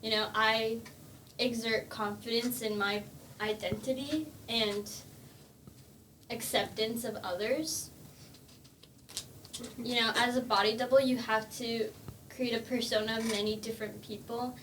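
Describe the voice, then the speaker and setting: A young adult making a deadpan, mock-confident pitch in an improvised podcast game. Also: a little slow, long pauses. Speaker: podcast guest. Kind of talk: casual improvised game chat. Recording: distant mic with background noise.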